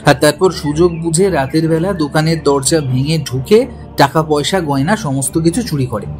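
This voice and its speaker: A man playing a detective's curious companion in an audio story, deliberately piecing clues together. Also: a little high, faint music behind, natural voice. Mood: curious deduction.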